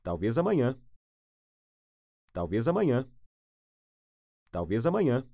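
Male language tutor, very slow and lively in pitch, dry close sound. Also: dry recording. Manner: repeats each phrase three times, clearly and deliberately. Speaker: language teacher. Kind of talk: slow phrase repetition for learners.

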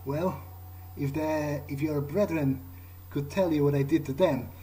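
A man's voice: fairly high pitch, steady tone, a little slow, dark tone. Man role-playing over noisy game. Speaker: gamer playing in character. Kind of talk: in-character game commentary, thinking aloud. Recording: clearly noisy, game ambience behind.

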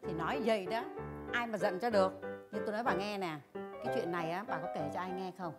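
Gossipy auntie next door, southern vietnamese accent, talks fast, pushy comedy drama, music underneath. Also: female voice, high-pitched, lively intonation. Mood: conspiratorial, coaxing. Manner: needling, insistent. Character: nosy, gossipy older neighbour woman, teasing and blunt.

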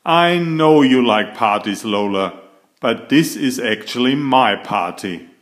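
A man voicing a young boy in a storybook, a polite but exasperated big brother who protests emphatically and deliberately. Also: medium pitch, animated.

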